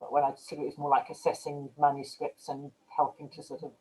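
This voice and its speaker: A woman's voice: sits low, monotone, brisk, dark tone, slight background noise, rough recording. A woman who translates and teaches writing, explaining their own approach in a panel discussion.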